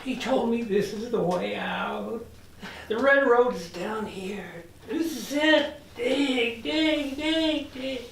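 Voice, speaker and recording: A woman playing a put-upon, deadpan housemate in hell at a dramedy table read. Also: fairly high pitch, slow pace, vocal fry. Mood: obsessive. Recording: roomy echo, distant microphone, background noise.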